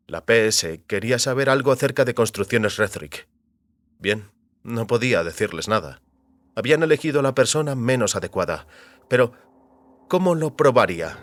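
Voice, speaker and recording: A man's voice: mid-range voice, fairly bright tone. A man reading scripted dramatic narration for an audio fiction story.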